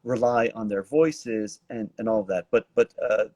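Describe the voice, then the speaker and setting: Low, mellow-voiced man hosting an interview. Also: no room sound. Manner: halting, wordy, roundabout questions. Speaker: talk show host, interviewer. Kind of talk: casual online interview.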